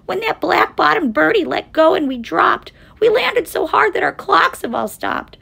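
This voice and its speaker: A tiny storybook mayor, voiced by a woman, frightened and polite. He pleads in a very high, small voice that rises and falls a lot. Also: big pitch swings, normal speed, gravelly, bright, forceful tone, full character voice. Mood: shaken, pleading.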